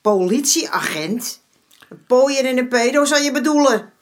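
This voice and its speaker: A woman's voice: high voice, expressive, unhurried. An actress playing a streetwise former madam in her seventies in a radio drama, folksy and dismissive in manner. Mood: indignant, defiant. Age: 70s. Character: streetwise 74-year-old former madam, motherly but brazen.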